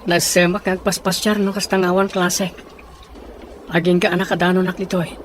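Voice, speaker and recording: A man playing a sheltered, sympathetic young man from a rich family in an audio drama, hesitant and gentle, with a northern Philippine accent. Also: high-pitched, flat delivery, moderate pace, natural voice. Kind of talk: acted audio drama dialogue. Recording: faint background noise.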